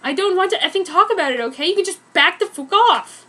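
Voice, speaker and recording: A woman's voice: extremely high pitch, expressive, bright, forceful tone, pitched above the performer's own voice, exaggerated voice. A woman voicing an angst-ridden teenage goth girl in a mock-dramatic fan fiction reading, overwrought and exclamatory. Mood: defiant, miserable. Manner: overwrought, exclamatory, deliberately mangled words.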